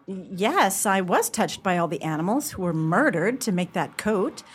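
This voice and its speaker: A woman playing an indignant, sensitive, sharp-tongued young woman in a radio play, with theatrical, emphatic delivery. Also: mid-range voice, very animated, moderate pace, slightly rough. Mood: defensive.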